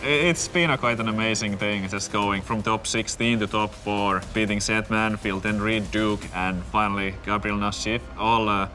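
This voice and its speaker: A man's voice: fairly low pitch, steady tone, moderate pace, few pauses, sharp tone, soft background music. A man from Finland, a tournament player, speaking in a confident, reflective pre-match interview.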